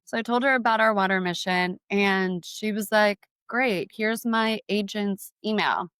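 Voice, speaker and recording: Smooth-voiced young woman entrepreneur. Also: medium pitch, measured pace, fairly bright tone, no echo, studio quality. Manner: candid storyteller, frequent "like" fillers. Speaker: guest entrepreneur. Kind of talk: interview conversation.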